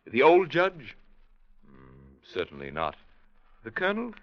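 An actor playing a smug, falsely chummy young gentleman villain in a radio drama, suave and mocking, in theatrical 1940s radio style. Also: mid-range voice, huge pitch swings, unhurried. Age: young adult. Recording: dull vintage radio recording.

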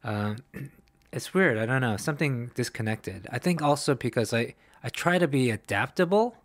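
A man's voice: medium pitch, lively intonation, moderate pace, no room sound. A man co-hosting a casual podcast, laid-back and self-mocking, laughing often. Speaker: podcast co-host. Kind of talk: casual chat.

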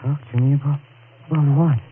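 An actor playing a lovelorn, anguished man driven to panic and crime, halting and dramatic in the manner of a 1940s radio thriller. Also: fairly high pitch, steady tone, lightly acted. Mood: stunned, yearning. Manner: halting, dramatic, repeats words in agitation. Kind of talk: 1940s radio thriller acting and first-person narration. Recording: noisy, narrow-band old radio recording.

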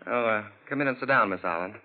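A man playing a brisk, authoritative legal official with a matter-of-fact manner in a 1940s radio drama. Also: fairly low pitch, background noise, close to an everyday voice. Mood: businesslike. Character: brisk, businesslike male legal official, mildly exasperated. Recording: old lo-fi radio drama recording.

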